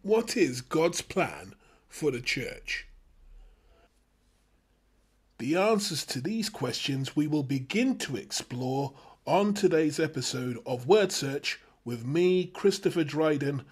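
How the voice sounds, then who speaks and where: A man presents a scripted Bible study in a fairly high, husky voice. He speaks as a host and teacher, setting out each point in measured phrases with clear emphasis.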